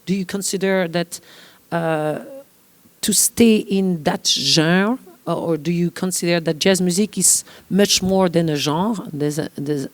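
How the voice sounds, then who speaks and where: A woman's voice: on the low side, unhurried, dry recording. A woman with a French accent moderating a panel, asking long winding questions.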